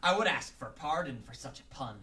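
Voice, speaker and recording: An actor voicing a gleeful, sly pirate crime lord with a stage pirate brogue and theatrical archaic speech, in a radio drama. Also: male voice, mid-range voice, expressive, brisk, bright, forceful tone, recorded from a distance. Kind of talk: amateur radio drama acting.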